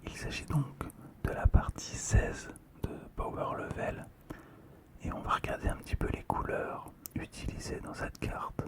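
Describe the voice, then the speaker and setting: Whispered, very slow, soft, close stereo microphone, ASMR narration, pauses between phrases. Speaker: ASMR video creator. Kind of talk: ASMR whispering, describing a collectible card.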